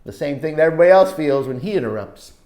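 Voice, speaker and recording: A woman reading a children's picture book aloud as a storytime teacher, expressive and dramatic, with asides to young listeners. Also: deep voice, very fast, roomy sound.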